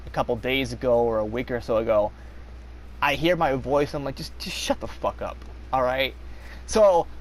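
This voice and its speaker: A young man talks in a slow, unhurried, rambling way, full of fillers and restarts, with a slight rasp in his voice. His pitch sits in an ordinary middle range. Faint noise hums under the recording. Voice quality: slightly raspy when talking long. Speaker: vlogger. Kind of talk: casual confessional monologue.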